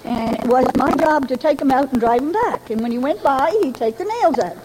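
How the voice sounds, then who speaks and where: An older woman with a high voice shares her life story with a meeting, folksy and chatty with a light touch of humor. A faint hum of ambience sits behind her. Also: lively intonation, brisk, a little mellow, rough recording. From Rhode Island, New England. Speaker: Al-Anon member giving a talk. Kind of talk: personal recovery story told to a meeting. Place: speaking to a gathering.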